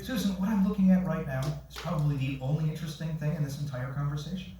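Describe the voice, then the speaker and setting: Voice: mid-range voice, fast, dark tone, close to an everyday voice. An actor playing Mike, a put-upon, blunt man in a staged comedy, answering in a dry, defensive way. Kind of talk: stage acting in a comedy play reading. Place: theatre stage, recorded from the audience. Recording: echoey theatre, far mic, noisy.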